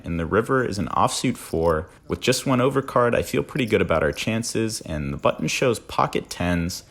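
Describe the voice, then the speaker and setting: A man in his twenties reading a scripted vlog voice-over as narrator, with dry, wry asides. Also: low voice. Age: 20s. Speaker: poker vlogger, narrator.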